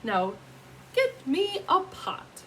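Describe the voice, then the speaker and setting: A woman voicing a hungry, confident young traveller from a storybook, coaxing with drawn-out phrases. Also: very high-pitched, huge pitch swings, sharp tone, slight background noise, pitched above the performer's own voice, exaggerated voice.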